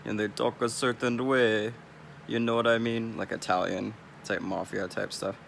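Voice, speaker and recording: A man's voice: fairly low pitch, fairly even intonation, moderate pace, vocal fry, fairly bright tone, a little hiss or hum. A young man from California with a Californian accent, rambling bluntly and swearing freely in a casual vlog. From California, US West Coast. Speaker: vlogger.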